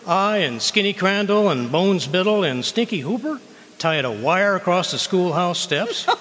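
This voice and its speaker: A man's voice: sits high, expressive, moderate pace, a bit bright. A man playing a bumbling, boastful husband in old-time radio comedy, with folksy, stammering talk. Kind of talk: 1940s radio comedy acting. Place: live stage performance in a community hall. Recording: noisy hall with long reverb.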